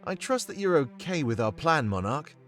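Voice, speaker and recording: A man's voice: a little high, big pitch swings, normal speed, a bit bright. An actor playing an assured, courteous male rebel comrade in a video game, speaking expressively. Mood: courteous, approving. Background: game music.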